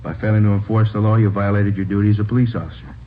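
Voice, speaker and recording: A man's voice: on the low side, level tone, rapid-fire, lightly acted. A man acting a firm, formal, by-the-book senior police officer in an old radio drama, on a tinny, hissy recording. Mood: stern.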